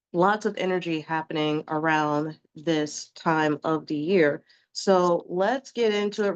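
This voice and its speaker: A woman's voice: lowish voice, clear, resonant tone, dull audio. Chatty, sniffly woman reading tarot. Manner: chatty, rambling, reassuring. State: sniffly from allergies. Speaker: tarot reader.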